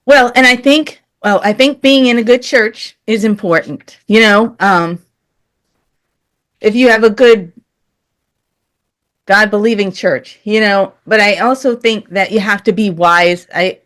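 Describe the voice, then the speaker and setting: A woman's voice: medium pitch, measured pace, a bit bright. A woman speaking earnestly and candidly as an interview guest, in a conversational style.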